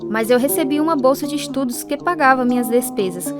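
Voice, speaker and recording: An actress plays a woman looking back on her youth in a dramatized radio story. Her voice is fairly high, with music clearly behind it. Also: brisk, lightly acted. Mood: reflective. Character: woman recounting her troubled youth, also as earnest teenager. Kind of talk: scripted dramatized narration.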